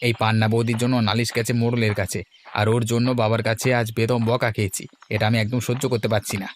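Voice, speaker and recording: Man playing a fast-talking village lad. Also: medium pitch, little pitch movement, slight background noise, close to an everyday voice. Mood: grumbling. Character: scheming, grumbling village lad among mischievous friends. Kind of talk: cartoon story dialogue.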